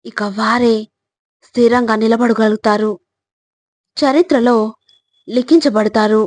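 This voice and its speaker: A woman reading scripted inspirational narration in measured short phrases, speaking directly to the listener. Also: on the high side, dry recording. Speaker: narrator of motivational quotes.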